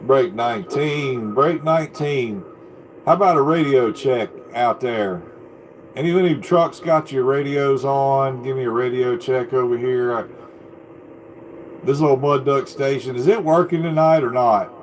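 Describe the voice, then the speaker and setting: A man's voice: mid-range voice, measured pace. A man chatting casually in a rambling, folksy way as he hosts a live video from his basement radio room. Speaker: live stream host, CB radio hobbyist. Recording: very noisy home live stream.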